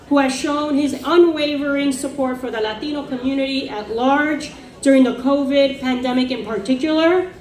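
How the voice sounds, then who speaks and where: A woman presenting an award with warm praise read from notes, slipping into Spanish for a few lines. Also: sits high. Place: large echoey event hall. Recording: echoey hall with crowd noise.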